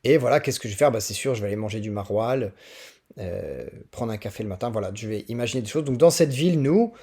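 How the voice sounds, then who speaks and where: A man's voice: on the low side, lively intonation, fast, warm tone, slightly rough. A man from northern France with a French accent presents teaching resources in an informal, explanatory screen tutorial, often hesitating as he thinks aloud.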